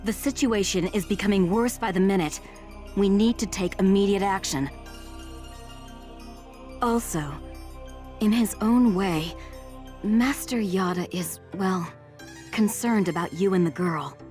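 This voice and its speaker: A composed, businesslike female agent, as a woman voices her in a game cutscene. She delivers briefings in a crisp, matter-of-fact way at a mid pitch, with faint music underneath. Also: unhurried, long pauses, darkish tone, natural voice.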